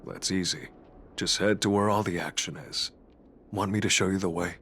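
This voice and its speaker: An actor playing a gruff but helpful, laid-back guide in a video game, with a casual, low-key delivery. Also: male voice, fairly even intonation, normal speed, a bit bright, slight background noise. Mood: casual, concerned. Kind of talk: video game voice acting, English dub. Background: background music.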